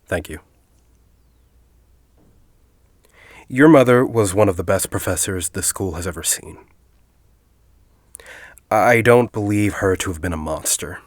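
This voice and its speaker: A man playing a composed, persuasive university headmaster in an audio drama, speaking in a formal, deliberate way. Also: sits low, no room sound, clean studio sound. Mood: grave, sincere. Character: composed, persuasive university headmaster, grieving a colleague, quietly calculating.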